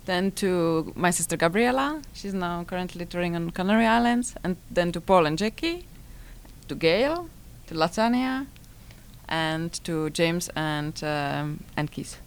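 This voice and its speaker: Woman, slightly low voice, simple halting English. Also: lively intonation, gravelly, clear, resonant tone. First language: not English. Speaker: touring jazz musician. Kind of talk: casual interview chat.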